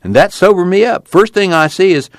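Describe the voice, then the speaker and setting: A man playing a rough, plainspoken young farm fellow, coarse and blunt, in an audiobook. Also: high voice, a little fast, no echo, pitched above the performer's own voice, natural voice. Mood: matter-of-fact.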